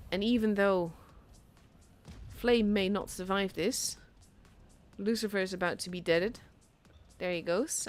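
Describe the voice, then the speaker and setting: A young Dutch woman, a native Dutch speaker, casting a tank game solo in a chatty, self-deprecating way that grows excited at big plays. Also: medium pitch. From Netherlands. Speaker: esports caster.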